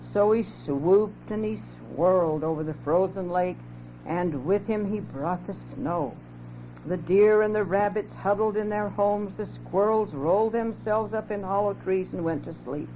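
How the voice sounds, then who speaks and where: A woman's voice: medium pitch, unhurried. An older woman expressively reading a children's story aloud as a narrator. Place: home tape recording. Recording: lo-fi muffled home cassette, loud hum.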